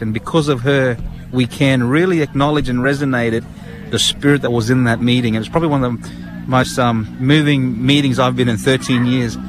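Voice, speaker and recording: An Australian man answering interview questions earnestly, halting with many fillers and restarts, recorded outdoors at a public event. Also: mid-range voice, rough recording. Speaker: guest, national committee member. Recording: outdoor event with crowd murmur.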